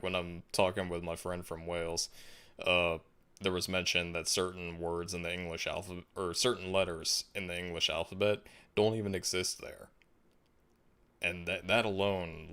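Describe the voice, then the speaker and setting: Very deep-voiced laid-back male streamer. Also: smooth. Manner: laid-back and musing, frequent restarts and soft laughs. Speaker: livestream host. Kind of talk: casual livestream chat, reading viewer comments.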